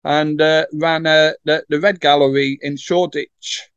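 A male interviewer introduces his guest and asks questions, with many fillers and pauses. His voice sits quite high for a man. The sound is dry and close, with no room echo. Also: level tone, fairly bright tone, smooth, dull audio. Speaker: podcast host. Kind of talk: casual interview.

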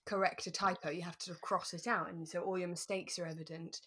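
Low-pitched woman, chatty podcast host. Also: steady tone, fast, bright, forceful tone. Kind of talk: casual chat reviewing a radio drama.

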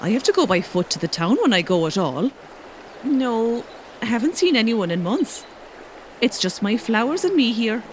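A doting old cottage woman, coaxing sweetly in a fairy-tale audio drama. Her voice is on the high side and very animated, over clearly audible water and outdoor ambience. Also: moderate pace, slight background noise.

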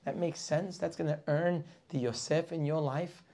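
A man's voice: fairly high pitch, fairly even intonation, moderate pace, warm tone. A man teaching a weekly Torah class, preaching with passion, firing rhetorical questions and sometimes dropping to a near whisper.

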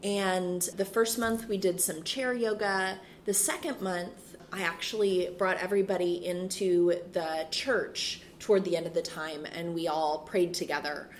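A woman in her thirties, a priest and school chaplain, talking in a relaxed interview with a soothing, reflective manner. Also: on the low side, measured pace, few pauses, slight background noise. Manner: frequent fillers and restarts, laughs easily. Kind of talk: casual interview conversation.

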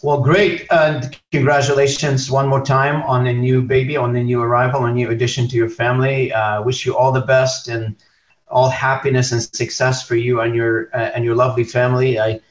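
A man's voice: mid-range voice, little pitch movement, moderate pace. A man hosting a podcast interview, speaking hesitantly with many fillers and restarts. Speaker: podcast host. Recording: roomy, distant, dull sound.

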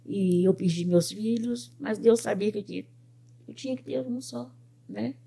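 An older woman from Brazil, a pet groomer and business owner, telling her life story in an interview with lively quoted speech. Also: medium pitch, moderate pace, gravelly, slightly rough. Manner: animated storyteller who quotes other people's words.

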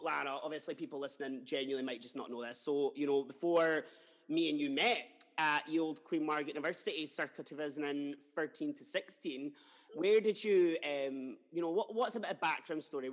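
A man's voice: on the high side, long reverb tail. A Scottish man in his twenties hosting a podcast, chatting casually, swearing freely and laughing along with his guest. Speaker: podcast host. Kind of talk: casual chat.

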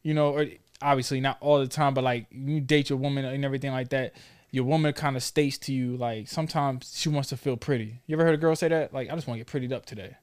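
A man's voice: mid-range voice, fairly even intonation, quick pace, a little mellow, clean tone. A man in his thirties hosting a casual conversation, talking in an informal, slangy, probing way. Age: 30s. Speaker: talk show host.

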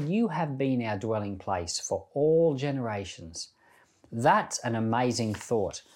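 A middle-aged man giving Bible reading and devotional commentary in an earnest, conversational way with deliberate phrasing. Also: mid-range voice, darkish tone.

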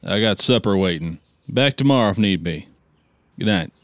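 An actor playing a plain-spoken small-town police officer of the 1890s, measured and folksy, in a screenplay drama. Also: male voice, fairly low pitch, lively intonation, a bit bright, no room sound. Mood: resigned. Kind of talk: acted screenplay reading.

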